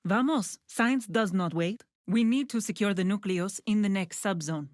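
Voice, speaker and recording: A woman acts as a composed scientist and tactical guide in a video game, her voice at a middle pitch. She gives instructions in a measured, businesslike way, focused yet encouraging. The sound is close and dry, as from a studio. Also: a little mellow, no echo, close to an everyday voice. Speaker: video game character giving mission instructions. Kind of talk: scripted video game voice lines.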